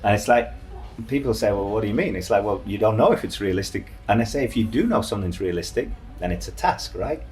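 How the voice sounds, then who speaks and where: A man's voice: on the low side, animated, fast, a little mellow. A man conducting a casual, conversational interview in a chatty manner, often affirming what is said.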